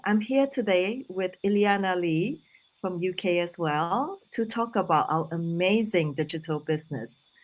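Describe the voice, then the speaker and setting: A woman's voice: mid-range voice, low-quality recording. A woman in her forties, from Singapore, hosting a casual live online chat in a friendly, conversational way with fillers. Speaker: host.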